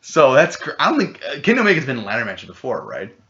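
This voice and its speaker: A man co-hosting a casual podcast chat, rambling and excitable, swearing freely. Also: medium pitch, fast talker. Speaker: podcast co-host. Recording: dull lo-fi podcast audio.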